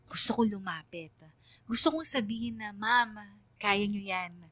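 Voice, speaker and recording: A woman playing a reflective, empathetic student who narrates a radio drama in a measured, solemn way. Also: medium pitch, fairly bright tone, close to an everyday voice. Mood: sombre, moved. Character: reflective student narrator, quietly empathetic toward her teacher. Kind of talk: scripted first-person radio drama narration.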